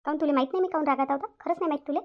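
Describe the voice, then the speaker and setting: A woman's voice: high voice, racing, close to an everyday voice. A woman voicing a stern, self-pitying middle-aged mother-in-law in a cartoon family drama, nagging with repeated questions in a Vidarbha Marathi accent. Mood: angry, accusing. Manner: nagging, repetitive rhetorical questions. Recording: dull lo-fi audio.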